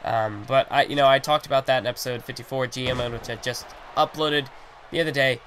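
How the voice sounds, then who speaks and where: A man with a strained, hoarse voice that is starting to give out, yet still bright and sharp in tone. He rambles through play-by-play commentary on a wrestling video game, padding his thoughts with filler phrases. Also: medium pitch, fairly even intonation, fast, a little hiss or hum. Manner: rambling, heavy on fillers like "you know".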